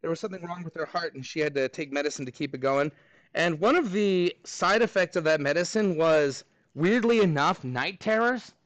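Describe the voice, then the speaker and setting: A man with a Texan accent teaches a math class, his voice sitting high for a man. He jokes with the students between problems. The sound is dry and close, with no room echo. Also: expressive, fairly quick, a bit bright. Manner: chatty, joking asides to students. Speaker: middle school math teacher. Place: school classroom.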